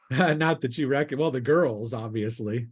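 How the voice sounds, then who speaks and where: A man's voice: medium pitch, measured pace, husky. A man acting as game master, narrating a tabletop role-playing game in a casual, descriptive, conversational way.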